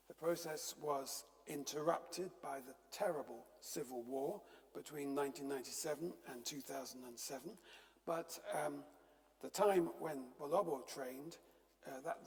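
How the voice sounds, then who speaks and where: An older man giving a church lecture, telling wry stories in a measured way with frequent ums. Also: medium pitch, a little mellow. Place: church hall. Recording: long reverb of a church hall.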